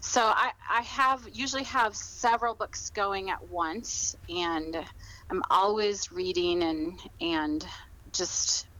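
A woman's voice: mid-range voice, takes it slowly, bright, forceful tone, poor audio. A middle-aged woman chatting casually as an interview guest, often repeating her words as she goes. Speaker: podcast guest, author.

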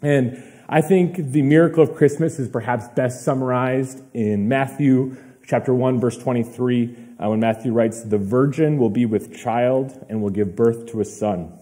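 A young man, slightly hoarse, giving a relaxed, chatty sermon as a pastor, with fillers and personal asides. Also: medium pitch, a little slow.

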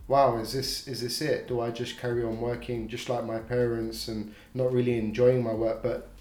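A man's voice: medium pitch, flat delivery, moderate pace, a little mellow, echoey room. A man, a podcast guest chatting casually with lots of fillers and laughs. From North London. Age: 20s. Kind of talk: casual chat.

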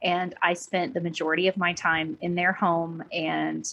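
Woman, 30s, from Oklahoma; low-pitched, candid podcast guest talking it through. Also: flat delivery, normal speed, vocal fry, pushed and bright, slight background noise. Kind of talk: conversational interview.

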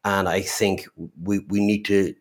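Middle-aged man, podcast host, somewhat low voice, halting and chatty. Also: level tone. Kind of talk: casual conversational interview.

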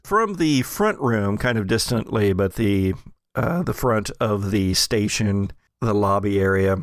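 A man running a tabletop game, narrating off the cuff and often pausing to find his words. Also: fairly low pitch, animated, dry recording, studio quality. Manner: halting, searching for words. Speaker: game master.

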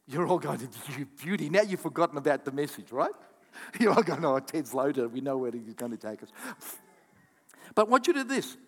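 A man preaching a sermon as pastor, calling out to the congregation and breaking into quick asides and acted-out quotes. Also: a little high. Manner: animated preaching with audience call-outs and asides. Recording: long hall reverb.

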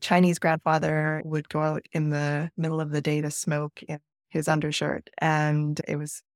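A middle-aged woman with a Canadian accent whose first language is Spanish, telling her own story as a podcast guest in a reflective, measured way. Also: sits low, monotone, no echo, clean studio sound. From northern Alberta, Canada, born in Peru. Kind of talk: personal storytelling in an interview.

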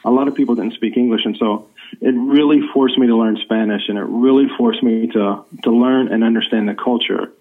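An American man in his forties, a journalist guest, speaking in a rambling, halting way with many fillers. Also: mid-range voice, fairly even intonation. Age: 40s. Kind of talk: remote interview. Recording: tinny phone line.

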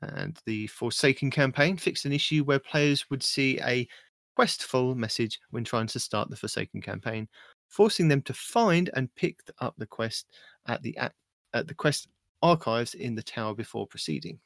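A man with a mid-pitched voice reads game patch notes aloud on a casual podcast, dropping joking asides between items. The sound is close and dry, with no room around it. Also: lively intonation, moderate pace, creaky, fairly bright tone, no room sound. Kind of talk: casual gaming podcast chat.

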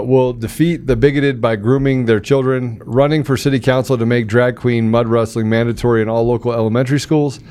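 A man's voice: medium pitch, a little mellow. A man hosting a casual live talk show, joking and teasing, often repeating himself as he banters.

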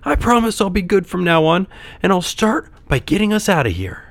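A man's voice: high-pitched, very animated, a little fast, no echo, voice pushed up. A man voicing a brave, impulsive young puppet boy in a children's storybook, with hesitant, eager delivery. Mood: determined.

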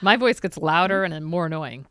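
A woman's voice: on the low side, expressive, normal speed, a bit bright, no room sound, clean studio sound. A woman co-hosting a casual podcast, chatty and giggly as she shares trivia. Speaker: podcast co-host. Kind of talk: casual chat.

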